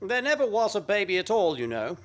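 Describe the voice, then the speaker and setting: A man's voice: high-pitched, very animated, a little fast. A man playing a flustered, bungling doctor friend in a radio play farce, hesitant and bumbling.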